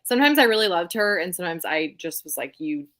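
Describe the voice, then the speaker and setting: A woman's voice: on the low side, big pitch swings, quick pace, fairly bright tone. A woman co-hosting a casual book discussion, talking in an informal, gushing way. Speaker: book club live show co-host.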